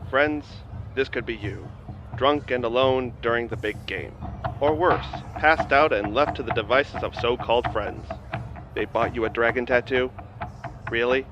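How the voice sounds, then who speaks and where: A man's voice: mid-range voice, a little slow, close to an everyday voice. A man acting a slick restaurant commercial announcer in a comedy audio play. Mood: salesy. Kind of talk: mock advertisement voice-over. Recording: dull sound under backing music.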